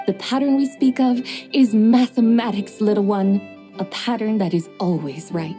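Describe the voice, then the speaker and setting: A woman voicing a calm, formal female traveler in a video game, speaking in a measured and precise way. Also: mid-range voice, over music, natural voice. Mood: composed.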